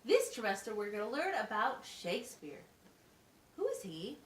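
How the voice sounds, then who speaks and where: A woman's voice: medium pitch, sing-song, normal speed, fairly bright tone. A woman playing a patient, encouraging special education teacher at a wizard school in an amateur puppet show, explaining things simply, step by step. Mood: instructive, upbeat. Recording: echoey, distant mic with faint noise.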